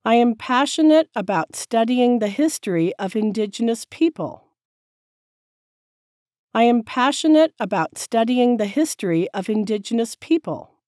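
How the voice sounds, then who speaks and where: A woman teacher reading short sentences slowly and clearly, each one said several times for learners to repeat. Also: a little high, animated, a little mellow, dry recording, clean studio sound. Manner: careful, clear enunciation. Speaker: English teacher. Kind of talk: slow repeated drill sentences.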